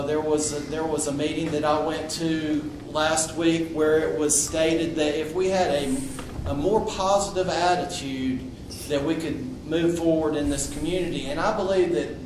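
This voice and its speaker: A man speaking loudly and bluntly to a public meeting, with frequent restarts. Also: on the high side, recorded from a distance. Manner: loud, outspoken, with frequent restarts. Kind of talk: impromptu public address. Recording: echoey room recorded from afar, noisy.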